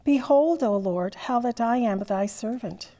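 A woman in her sixties leading a church service as priest, reciting psalms and prayers in a measured, formal way. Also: on the high side, animated, a loud crowd. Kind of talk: liturgical reading. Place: large church sanctuary with stained glass. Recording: long church reverb.

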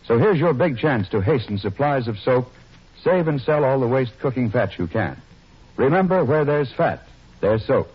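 A man with a medium-pitched, somewhat husky voice delivers scripted radio advertising. He is polished and persuasive, heard through the dull sound of an old 1940s broadcast. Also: lively intonation, normal speed. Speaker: radio announcer.

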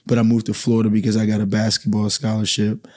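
A man from the Bahamas with a low, mellow voice speaks in a calm, even way. He talks thoughtfully as a guest into a studio boom mic. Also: fast. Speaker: basketball coach, podcast guest. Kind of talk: podcast conversation. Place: small podcast studio. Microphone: studio mic on boom arm.

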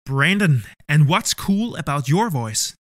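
A man's voice: on the high side, very animated, measured pace, a bit bright, no echo, studio quality. A man hosting a mock talent show in an upbeat, showman-like way. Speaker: host.

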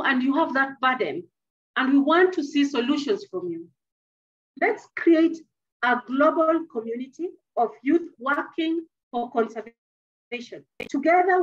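High-pitched middle-aged woman, dull call audio. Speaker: speaker addressing youth at a conservation dialogue. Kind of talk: motivational closing address. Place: small indoor office. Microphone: computer mic on a video call.

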